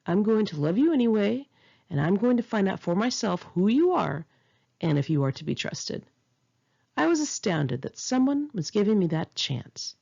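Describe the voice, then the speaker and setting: A woman's voice: mid-range voice, sing-song, normal speed, vocal fry, warm tone. A woman reading her own memoir as an audiobook, in an earnest, emphatic, confessional manner, on a slightly dull home recording. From United States.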